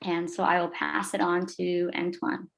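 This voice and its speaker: A woman presenting at an online event, introducing scholarship recipients in a measured way with some fillers, on a video call. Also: fairly low pitch, flat delivery, vocal fry, faint music behind.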